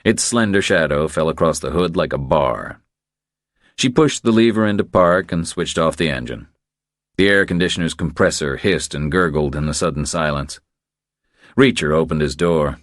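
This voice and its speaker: A man reading scripted audiobook narration in a measured storytelling manner. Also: rumbling low, no room sound, clean studio sound.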